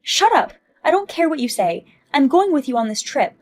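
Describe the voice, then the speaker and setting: Whiny entitled mom, a woman puts her on: shrill, pleading, loud. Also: sing-song, fairly quick.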